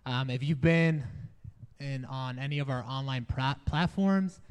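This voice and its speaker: A young man from the Dominican Republic, raised in New York City, sharing a relaxed personal testimony with a congregation in a barn church, with easy laughs and filler words. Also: medium pitch, measured pace, clear, resonant tone. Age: young adult. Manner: relaxed, chatty, laughs easily, many fillers. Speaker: church resident speaker. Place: barn used as a church hall, rain outside.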